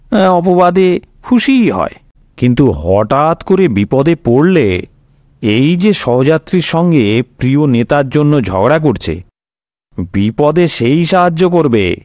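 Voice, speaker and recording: A man's voice: fairly high pitch, a little mellow, dry recording, clean studio sound. A man with an Indian Bengali accent telling scripted satirical stories in a colloquial, wry, explanatory way. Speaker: comic storyteller.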